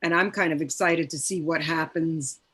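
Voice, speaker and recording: Reflective, emphatic woman, slightly low voice. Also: fairly even intonation, normal speed, a bit bright, husky. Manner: searching, emphatic, repeats "like". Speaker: stage actor in a cast discussion. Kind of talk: reflective panel conversation.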